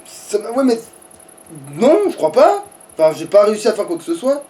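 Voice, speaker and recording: A man's voice: high voice, sing-song, moderate pace, warm tone. A man playing a game and chatting informally, swearing and trailing off mid-thought. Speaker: let's play player. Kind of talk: casual gaming chat.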